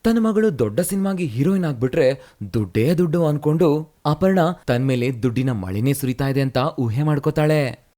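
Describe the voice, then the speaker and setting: A man narrating a moral fairy tale in a brisk, plain storytelling manner. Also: on the high side, animated, mellow.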